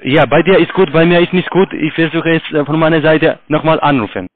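A call-centre man with a foreign accent talks through a tinny phone connection. His voice sits a little high. He gives step-by-step instructions haltingly, with broken grammar. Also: dry recording. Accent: foreign accent in German. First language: not German. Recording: narrow, tinny phone line.

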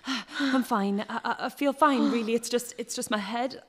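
A young woman playing a polite, easygoing girlfriend with a husky voice in a staged audio play. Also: on the high side, moderate pace. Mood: dazed, brave. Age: young adult. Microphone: vocal mic on stand.

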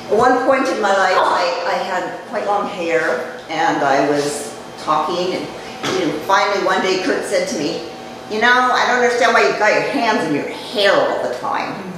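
An older woman giving a chatty public talk to a live audience, her voice dropping low as she speaks. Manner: conversational, chatty, jokes with the audience. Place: community event room. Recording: echoey hall, mic far off, noisy.

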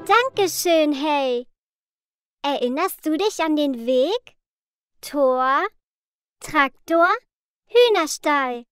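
A woman's voice: high-pitched, animated, no room sound, faint music behind, exaggerated voice. A woman voicing a cheerful little girl adventurer in a preschool cartoon, speaking simply and encouragingly to young viewers. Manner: slow, simple, encouraging delivery.

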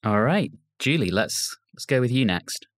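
A man with a medium-pitched voice hosts a podcast in a casual, conversational style. The recording is dry, with almost no room sound. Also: big pitch swings, normal speed, no echo. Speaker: podcast host. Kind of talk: casual review discussion.

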